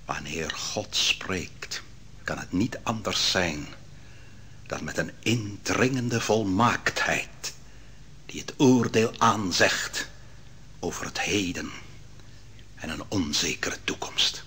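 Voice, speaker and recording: An actor playing a grave, declamatory prophet pronouncing doom in a Dutch radio drama. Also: male voice, low-pitched, animated, takes it slowly, long pauses, sharp tone, slight background noise, heavily acted. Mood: solemn. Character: solemn male prophet pronouncing doom on a king.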